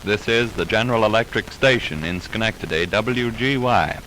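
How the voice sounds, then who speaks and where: Lower-voiced male announcer, hissy old radio. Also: normal speed, bright, forceful tone, rough recording. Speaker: station announcer. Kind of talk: 1920s radio station identification. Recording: hissy 1920s radio aircheck.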